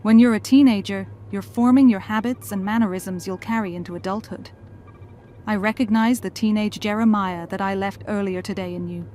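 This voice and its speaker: A woman's voice: medium pitch, steady tone, a little fast, mellow, slight background noise, natural voice. A woman playing a tired, wry and tender mother in an audio drama. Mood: weary, wry.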